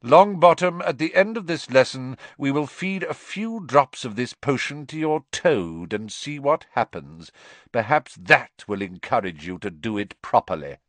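A man's voice: medium pitch, expressive, measured pace, fairly bright tone, dry recording, pitched above the performer's own voice. A man reading aloud and voicing a cold, sneering adult male potions master with an icy, disdainful delivery. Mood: cold contempt.

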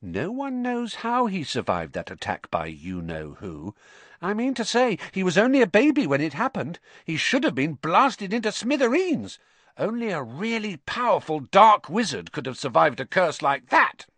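A man's voice: sits high, very animated, moderate pace, a bit bright, no room sound, pitched above the performer's own voice, heavily acted. A man voicing a pompous, fearful schoolboy in an audiobook, dramatic and insistent. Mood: ominous gossip. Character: pompous, fearful schoolboy spreading dark suspicions. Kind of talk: audiobook character acting.

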